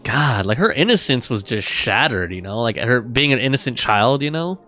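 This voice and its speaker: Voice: mid-range voice, lively intonation, moderate pace, bright, forceful tone. A game streamer giving casual live commentary and chatting with viewers in laid-back, slangy phrasing.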